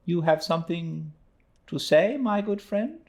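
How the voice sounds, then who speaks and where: A man voicing a brisk, kindly older English gentlewoman in an audiobook, crisp, decisive and formal. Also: fairly high pitch, lively intonation, pitched above the performer's own voice. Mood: brisk, good-natured. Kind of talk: audiobook character reading.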